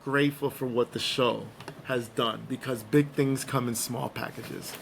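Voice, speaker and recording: A man hosting a casual podcast, slightly hoarse, playful and joking as he gives shout-outs and talks news. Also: mid-range voice, steady tone, measured pace, a little hiss or hum. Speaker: podcast host.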